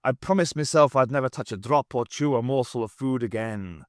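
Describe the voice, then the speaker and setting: A man voicing a sorrowful, pleading Irish space traveller with a high, strained voice and an Irish accent. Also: a little fast, no echo, clean studio sound, lightly acted.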